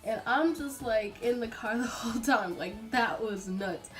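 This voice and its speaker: A woman's voice: on the high side, measured pace, faint music behind, rough recording. A young adult woman telling a personal story casually and dramatically, like a vlogger, acting out what people said. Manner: chatty, dramatic, acts out quotes. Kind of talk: casual animated storytelling to camera.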